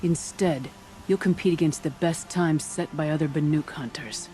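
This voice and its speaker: A woman's voice: low voice, normal speed, dark tone, lightly acted. A woman plays an old huntress who keeps the trials in a video game. She talks in a wry, blunt, instructive way, with faint music underneath. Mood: wry, proud. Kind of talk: video game dialogue acting.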